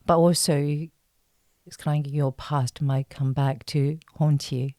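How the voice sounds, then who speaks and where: A woman's voice: very deep voice, fairly even intonation, measured pace, dark tone, no room sound, studio quality. A woman from China speaking as an interview guest, an actress answering casually in hesitant, thoughtful phrases.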